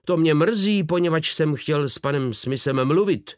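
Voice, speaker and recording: Man doing a shrewd detective, crisp, confident, slightly raised voice, dry close sound. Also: on the high side, no room sound, voice pushed up, close to an everyday voice. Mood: coaxing. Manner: crisp, assured, sly charm. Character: shrewd, confident male detective, middle-aged. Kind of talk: audiobook character voice.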